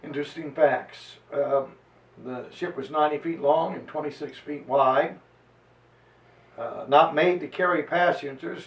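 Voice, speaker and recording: Man, fairly high voice, theatrical history reading and lecture, deliberate emphasis, reverberant room. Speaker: preacher giving a historical reading. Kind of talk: dramatic reading and lecture to a live gathering. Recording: echoey room, distant microphone.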